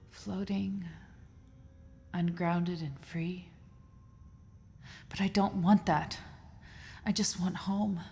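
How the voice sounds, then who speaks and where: A woman's voice: fairly low pitch, fairly even intonation, very slow, gravelly, pauses often, a little mellow, exaggerated voice. An actress playing a trapped, despairing woman scientist on a space mission, weary and bitter, in an audio drama. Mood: despairing, wistful. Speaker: actor in a musical audio drama.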